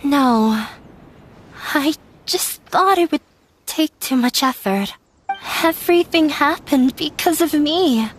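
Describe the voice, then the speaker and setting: A young student from a video game speaks slowly in a high, subdued voice. She sounds dejected and self-blaming, hesitant, with faint music behind her. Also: animated, low-quality recording. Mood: apologetic.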